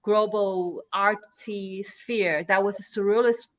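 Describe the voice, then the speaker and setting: A woman with a Chinese accent, a native Chinese speaker, answering interview questions as a guest poet in a halting way, with many fillers and restarts. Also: mid-range voice, fairly even intonation, a bit bright.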